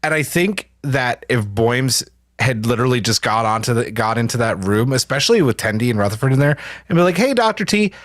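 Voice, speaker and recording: A podcast co-host in casual chat, speaking with quick, lively agreement. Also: medium pitch, no echo, studio quality.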